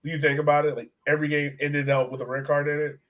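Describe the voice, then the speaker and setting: A man co-hosting a soccer fan podcast, talking in a casual, excitable way. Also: a little high, fast talker. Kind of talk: casual sports podcast chat.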